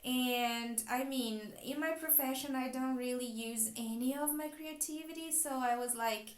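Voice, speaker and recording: A woman's voice: sits high, measured pace. A Brazilian woman, a native Portuguese speaker talking in English with a Brazilian Portuguese accent, chats in a friendly way about her new books, thinking aloud as she goes. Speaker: book vlogger and private teacher. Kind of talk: casual book haul vlog. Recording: echoey room.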